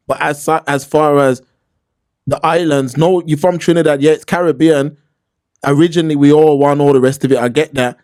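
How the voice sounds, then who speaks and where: A man's voice: on the high side, darkish tone. A man with a London accent giving opinions in casual podcast talk, slangy and emphatic, repeating points for stress. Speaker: podcast host.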